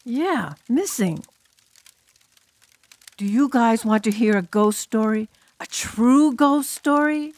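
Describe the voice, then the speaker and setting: A woman acts out a fearful teenage drum major in an audiobook. The voice sits a little high, grave and apologetic, recorded very dry. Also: animated, slow pace, long pauses, darkish tone, dry recording. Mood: ominous. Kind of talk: audiobook character acting.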